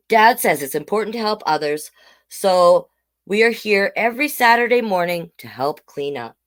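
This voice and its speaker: Woman storyteller with very lively pitch swings. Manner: simple, child-directed explaining with tag questions. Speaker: children's storytime host and reader. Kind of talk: picture-book read-aloud with chatty asides.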